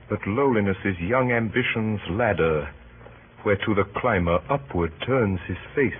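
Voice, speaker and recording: Idealistic Roman statesman, low solemn oratory, vintage radio Shakespeare with noisy, thin sound. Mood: brooding. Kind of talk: 1930s-40s radio Shakespeare acting. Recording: noisy, narrow-band old radio recording.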